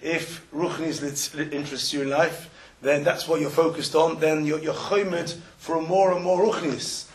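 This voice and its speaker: A man with a cold, croaky, giving a morning Torah lecture as a rabbi, posing questions and answering them step by step. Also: fairly high pitch, steady tone, normal speed, low-quality recording. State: has a cold.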